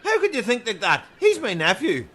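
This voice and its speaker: A man doing a shrill, brash comedy character. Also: huge pitch swings, moderate pace, pushed and bright, heavily acted. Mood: callous, indignant. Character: brash, callous, money-grubbing cricket-playing character in a comedy. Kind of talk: scripted comedy audio drama. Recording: faint background noise.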